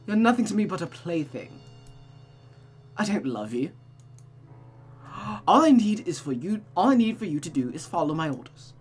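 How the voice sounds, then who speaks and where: A woman's voice: fairly low pitch, big pitch swings, moderate pace, long pauses, a little mellow, faint music behind. Woman voicing a cruel, commanding mother figure. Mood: cold mockery. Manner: cold, domineering. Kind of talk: visual novel character reading.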